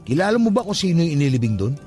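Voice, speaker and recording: A man's voice: a little high, very animated, rapid-fire, mellow, faint music behind. An actor playing a brash young man who teases and mocks his cousin in a radio drama. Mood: boastful. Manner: teasing, brash, mocking. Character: cocky, skeptical young funeral-parlor worker teasing his cousin. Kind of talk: radio drama dialogue, casual banter.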